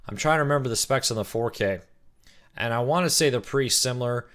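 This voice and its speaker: A man hosting a casual weekly live-stream Q&A, greeting viewers and answering their questions, his voice a little rough from cheering. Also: medium pitch, quick pace, a bit bright. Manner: chatty, reads out viewer comments and greets them by name.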